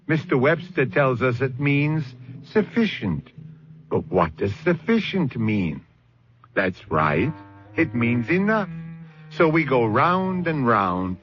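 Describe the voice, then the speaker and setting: Man as host, very slow and animated, wry and theatrical, on a dull lo-fi radio sound. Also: medium pitch.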